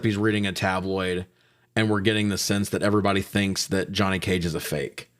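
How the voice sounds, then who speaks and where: A man with a fairly low voice talks through a film as a podcast co-host. His style is casual and slangy, peppered with profanity. He tells it like a story, acting out the quoted lines as he goes. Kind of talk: casual movie recap banter.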